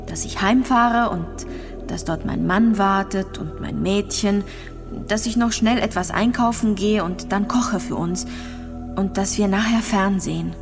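An actress playing a gravely ill, lonely young woman in a radio drama, speaking haltingly as she recalls things and repeats herself. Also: medium pitch, natural voice. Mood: wistful, lonely. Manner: halting, searching, repeats phrases. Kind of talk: radio drama monologue, intimate recollection. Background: music.

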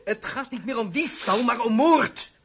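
A man playing a stern, insistent police detective in a radio drama, with a husky voice. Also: extremely high pitch, lively intonation, moderate pace, exaggerated voice. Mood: pressing. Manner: insistent, interrogating. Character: stern, pressing police detective interrogating a suspect. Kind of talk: Dutch radio drama acting.

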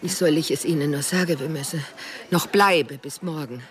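An actress as Martha, a flustered, evasive boss. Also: female voice, low voice, fairly quick, lightly acted. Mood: flustered, apologetic. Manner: flustered, evasive, broken-off sentences. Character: harried, evasive female boss named Martha, formally polite. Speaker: supporting character. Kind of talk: radio drama acting.

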